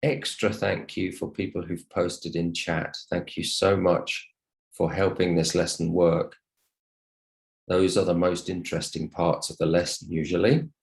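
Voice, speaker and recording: Guy teaching english on an online call, deep voice, polite and encouraging to the students. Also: flat delivery, measured pace, gravelly. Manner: polite, encouraging, thanks listeners often. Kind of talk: online lesson talk to students. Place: online video call session.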